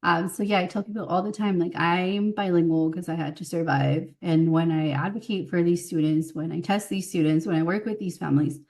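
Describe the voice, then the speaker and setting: Woman, Californian accent, slightly low voice, casual conversation, anecdotal storytelling, speech therapist guest. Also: fairly even intonation, brisk, few pauses, a little mellow. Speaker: guest, bilingual speech-language pathologist.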